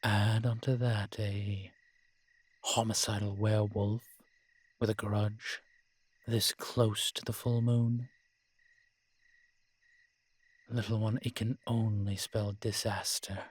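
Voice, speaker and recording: A man playing a world-weary, morose male vampire in a roleplay audio drama, speaking in a measured, formal, brooding manner with sighs and pauses. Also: fairly low pitch, flat delivery, breathy edge. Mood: worried, grim. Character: weary old male vampire, morose realist, formerly cruel.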